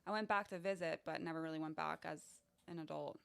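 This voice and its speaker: Woman from Florida, low-pitched, quiet, sitting away from the mic. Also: fairly even intonation, fast talker, vocal fry. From Florida, USA. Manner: soft-spoken, brief replies. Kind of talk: casual chat.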